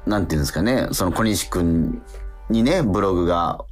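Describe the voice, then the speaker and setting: Male radio host, deep-pitched and expressive, chatty and effusive, faint music underneath. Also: fairly quick. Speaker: radio show host and actor. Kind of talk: casual radio talk, reading listener mail. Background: background music.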